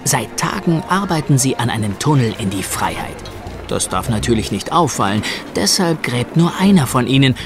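A man narrating a children's audio play as an expressive storyteller. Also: mid-range voice, moderate pace. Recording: music under the voice.

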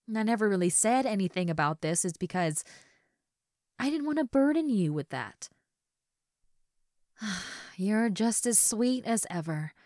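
A woman acting a tender, regretful young substitute teacher in an intimate roleplay monologue, speaking hesitantly with soft sighs on a close mic. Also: mid-range voice, dry recording. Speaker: roleplay audio voice actress. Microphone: close studio mic, dry.

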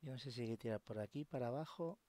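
A man's voice: mid-range voice, quick pace, warm tone, faint music behind. A man from casually commenting on a horror game, swearing, sighing and thinking aloud as he searches for the way. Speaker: gameplay commentator. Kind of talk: casual let's play commentary.

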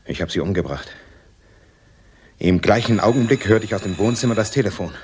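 An actor playing a haunted, withdrawn ex-convict in a crime radio drama, speaking haltingly in short, broken phrases. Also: male voice, on the low side, slight background noise, lightly acted. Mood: tense. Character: haunted ex-convict confessing dark past, withdrawn. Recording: music under the dialogue.

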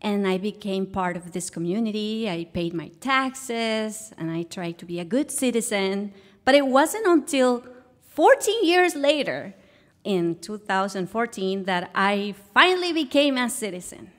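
A woman's voice: medium pitch, lively intonation, a little slow. A woman from Guatemala with a Guatemalan Spanish accent, telling a personal story on stage as a guest. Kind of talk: personal story told to a live audience. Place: live stage show.

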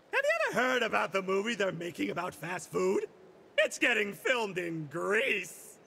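Very high, manic cartoon hamburger mascot. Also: male voice, gravelly, pushed and bright, full character voice. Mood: zany, cheerful. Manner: over-the-top cheerful, exclamatory showman delivery. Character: manic, zany, chirpy cartoon hamburger fast-food mascot warrior. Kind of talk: video game character voice lines.

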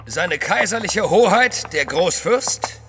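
An actor playing a loyal, deferential courier of the Tsar in a German audio drama. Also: male voice, sits high, lively intonation, takes it slowly, over music, heavily acted. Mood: respectful, hesitant. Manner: deferential, earnest. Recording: long hall reverb.

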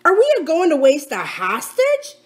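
A woman plays a cunning old-salt pirate captain from a storybook. The talk is folksy and sardonic, full of scorn, and the high-set voice swings widely up and down. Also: huge pitch swings, normal speed. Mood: indignant, vehement. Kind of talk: dramatic storybook character reading.

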